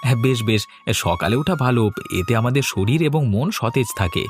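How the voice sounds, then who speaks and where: A man's voice: medium pitch, expressive, fairly quick, soft background music, close to an everyday voice. A man playing a meek, good-natured orphaned servant youth in a cartoon, timid, earnest and eager to please. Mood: friendly. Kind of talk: Bengali cartoon voice acting.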